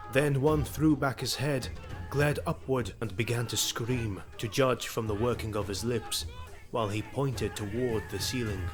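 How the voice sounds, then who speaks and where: A man narrating an audio drama in a scripted, measured and dramatic storytelling style. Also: mid-range voice. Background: music.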